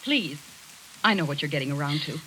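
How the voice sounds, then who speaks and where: A woman's voice: low-pitched, expressive, fast talker, sharp tone, breathy edge, heavily acted, low-quality recording. An actress playing a tense, defensive, emphatic woman in a 1940s radio drama. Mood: defensive, anxious. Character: tense, defensive women of a rooming house. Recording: hissy old radio recording.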